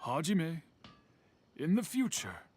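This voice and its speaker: A man voicing an elderly master craftsman in a video game, speaking solemnly and in a measured way. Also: a little high, huge pitch swings, music in the background, full character voice. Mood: grave.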